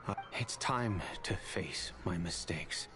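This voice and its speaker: An actor playing a remorseful middle-aged merchant in a video game, speaking in a measured, apologetic way. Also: male voice, sits low, lively intonation, in a whisper, over music. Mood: regretful.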